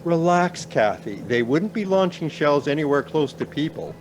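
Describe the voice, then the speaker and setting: A man's voice: fairly high pitch, sing-song, moderate pace, a little hiss or hum, rough recording. A man acting in a radio play as a reassuring, sceptical professor, formal and understated. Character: reassuring, sceptical male professor, measured and dry.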